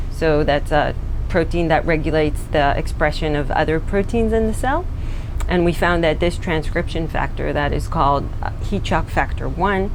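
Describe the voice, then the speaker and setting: Israeli-born woman in a noisy lab. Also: low-pitched. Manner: earnest, explanatory, with frequent fillers and restarts. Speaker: postdoc researcher. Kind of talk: documentary interview and lab tour. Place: research lab.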